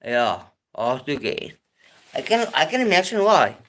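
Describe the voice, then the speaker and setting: A South African man hosting a casual live stream, talking in a hesitant, halting way with many pauses and restarts. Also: fairly high pitch, very animated, fairly bright tone.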